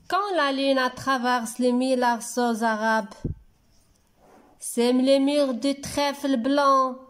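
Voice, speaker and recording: Female poetry reader for a school lesson: high voice, extremely slow, deliberate phrasing. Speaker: teacher reading a poem for pupils. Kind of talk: slow poetry recitation for dictation and reading lesson.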